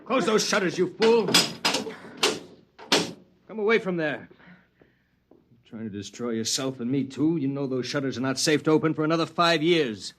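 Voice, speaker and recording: An actor playing a domineering, fearful, scolding husband in a 1950s radio drama, harsh and urgent in manner. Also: male voice, a little high, animated, moderate pace, pauses often, natural voice. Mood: angry alarm. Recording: faintly hissy vintage radio recording.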